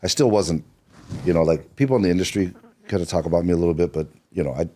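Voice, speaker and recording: A man's voice: low-pitched, quick pace, dark tone, a little hiss or hum. A middle-aged man from Seattle, a screenwriter and podcast guest, telling his story in a loose, rambling way with lots of fillers and restarts. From Seattle, Washington. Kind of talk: relaxed interview storytelling.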